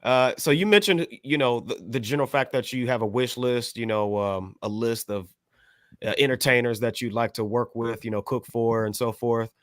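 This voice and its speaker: Male podcast host, mid-pitched, wordy and halting, casual interviewing, very little room sound. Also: dry recording, studio quality.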